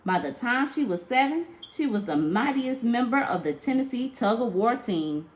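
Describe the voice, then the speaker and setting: A woman narrating a children's tall tale aloud like a teacher, with expressive, emphatic storytelling. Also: on the high side, normal speed, poor audio.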